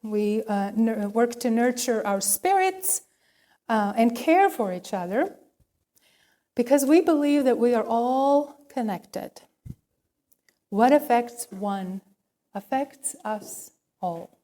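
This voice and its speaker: A woman minister speaking in a measured, deliberate way as she leads a worship service and reads liturgy. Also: on the high side, animated, dark tone. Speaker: minister leading a church service. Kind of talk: sermon and liturgical reading.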